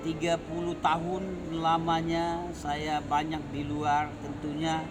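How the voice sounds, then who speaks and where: A man's voice: a little high, monotone, takes it slowly, music in the background. A middle-aged man from Aceh with an Acehnese accent colored by Medan and Malay speech, giving an earnest personal testimony in conversation. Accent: Acehnese with some Medan and Malay dialect. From Aceh, Indonesia. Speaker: guest telling his conversion story.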